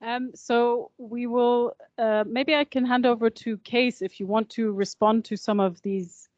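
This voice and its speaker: A woman's voice: fairly high pitch, fairly even intonation, unhurried, darkish tone, no room sound. Woman running a workshop session, lots of ums, dry dull mic sound. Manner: hesitant, many fillers and restarts. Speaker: moderator. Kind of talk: moderating a workshop.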